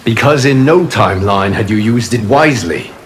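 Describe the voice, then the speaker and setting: An actor playing a calm, solemn guardian god in a fighting game, speaking in a measured, formal way. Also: male voice, lowish voice, close to an everyday voice. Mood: solemn, composed. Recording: loud game noise behind.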